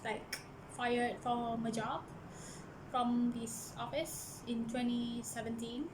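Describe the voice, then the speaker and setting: A woman's voice: on the high side, noisy background. Earnest Malaysian woman telling her story. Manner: earnest, hesitant with frequent restarts. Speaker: book vlogger. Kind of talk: casual personal storytelling. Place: church office.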